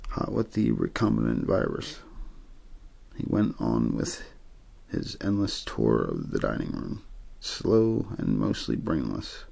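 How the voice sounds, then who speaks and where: Male ASMR narrator for horror-novel bedtime reading: extremely low, heavily creaky, husky, understated. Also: very animated, takes it slowly, mellow. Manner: understated, untheatrical delivery.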